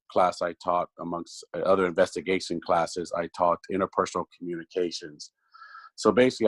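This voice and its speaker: Very deep, flat-voiced man. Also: no room sound. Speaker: police instructor, panelist. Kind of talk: online forum introduction. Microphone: video call.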